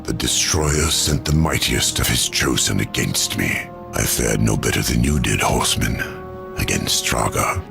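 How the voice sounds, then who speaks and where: A man voicing the ancient Angel of Death in a video game cutscene, solemn and measured, sometimes dropping to a hush. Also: rumbling low, creaky, music in the background, heavily acted. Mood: pleading. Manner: solemn, measured, urgent hushed pleading. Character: ancient weary male Angel of Death, grave. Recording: game score under the voice.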